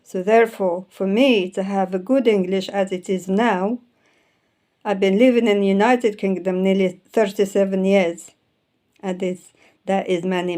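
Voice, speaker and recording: A woman in her fifties whose first language is Arabic talks through publishing and copyright in a loose, chatty way. She circles back with "so therefore" and stops to fix words halfway through. Her pitch sits mid-range for a woman. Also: mellow. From Arabic-speaking country. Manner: rambling, repeats "so therefore", self-corrects words. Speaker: self-published author. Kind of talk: casual explanatory talk, showing books.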